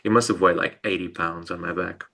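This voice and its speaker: A man's voice: sits low, fairly even intonation, fairly quick, creaky, fairly bright tone. A young man from Portugal, a podcast guest telling bike travel stories in a hesitant way, often repeating words. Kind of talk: casual storytelling interview.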